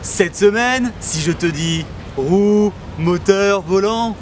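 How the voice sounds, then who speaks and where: A man's voice: sits high, slow pace, noisy background. A man hosting a parody language lesson in a mock-earnest, cheeky manner. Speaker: comedic language teacher host.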